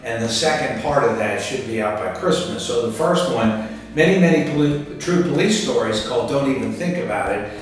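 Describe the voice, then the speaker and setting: A man in his sixties giving casual interview answers in a rambling, halting way, often repeating words. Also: mid-range voice, lively intonation. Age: 60s. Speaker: author and martial arts instructor being interviewed. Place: indoor room by a curtained window. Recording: echoey room, distant mic, music underneath. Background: background music.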